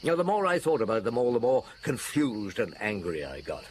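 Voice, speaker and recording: An actor playing a dogged, troubled and grumpy chief inspector in a radio drama, musing and probing. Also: male voice, mid-range voice, sing-song, fairly quick, slight background noise, close to an everyday voice. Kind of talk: radio drama dialogue and first-person narration.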